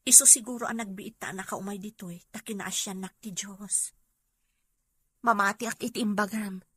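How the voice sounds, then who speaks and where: A woman's voice: medium pitch, steady tone, normal speed, bright, forceful tone, husky, no room sound. A woman playing a worried Filipino mother working abroad in a drama. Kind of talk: comedy-drama acting.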